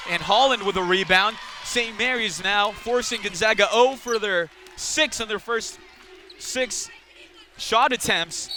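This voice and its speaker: A man doing live radio play-by-play of basketball, describing the action in clipped phrases that rise with the play. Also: high-pitched. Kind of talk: live sports commentary. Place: indoor basketball arena, courtside. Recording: noisy arena with court sounds behind.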